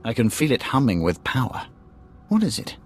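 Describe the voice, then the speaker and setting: A man's voice: mid-range voice, wildly swooping pitch, moderate pace, soft background music. An actor playing a shrewd, calculating man who hires a bounty hunter in a video game, formal in his wording. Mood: measured, intrigued. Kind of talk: video game cutscene acting.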